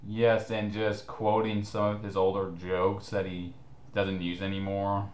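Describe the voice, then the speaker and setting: Slow, low-voiced man in an echoey room. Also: flat delivery. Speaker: co-commentator. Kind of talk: casual mocking commentary chat. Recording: echoey room, faint background noise.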